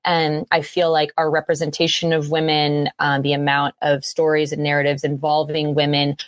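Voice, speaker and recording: A woman's voice: low voice, completely flat delivery, moderate pace, dry recording, studio quality. A woman from Western Canada with a Canadian accent, speaking earnestly and thoughtfully as a filmmaker guest in a reflective interview.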